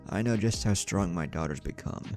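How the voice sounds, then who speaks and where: A man's voice: deep voice, fairly quick, darkish tone, soft background music, lightly acted. A man voicing a cold, stern clan patriarch in a story, with an impassive, condescending manner. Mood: smug, calculating.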